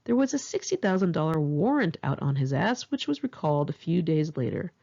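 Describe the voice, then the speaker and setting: A woman's voice: low-pitched, very animated, brisk, creaky, warm tone, lo-fi sound. A woman reads her own memoir aloud in a candid, wry, conversational way, like a casual audiobook. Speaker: author reading own memoir.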